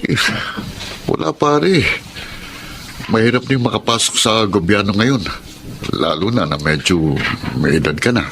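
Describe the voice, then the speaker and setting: A lowish male voice over clear background noise, a meddling Filipino pal. He talks in a chummy, insinuating way, as in a radio drama. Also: lively intonation, unhurried, long pauses, fairly bright tone. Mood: casual, consoling. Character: meddling, needling male buddy who stirs up doubt.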